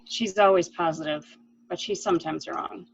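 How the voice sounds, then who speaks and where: A woman's voice: lowish voice, moderate pace, creaky, husky, lo-fi sound. A woman playing an anxious, pleading lady, earnest and melodramatic, in an amateur murder mystery play. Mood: troubled. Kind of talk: amateur radio-play acting.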